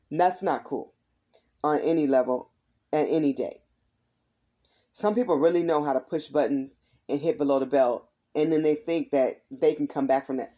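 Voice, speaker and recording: Slightly raspy woman giving a tarot reading. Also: deep voice, mellow. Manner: chatty, repeats "is what I'm hearing". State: voice tired from heavy use. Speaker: tarot reader. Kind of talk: casual tarot reading to viewers.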